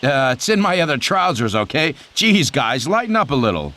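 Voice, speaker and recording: An actor playing a cocky American pilot captain in a video game, with an American accent and a breezy, sardonic way of talking. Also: male voice, fairly high pitch, big pitch swings, moderate pace, pushed and bright. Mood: wisecracking. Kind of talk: video game cutscene acting.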